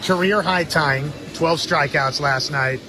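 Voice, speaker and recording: A man's voice: on the high side, slow pace, noisy background. A man hosting a sports radio pregame show and interviewing.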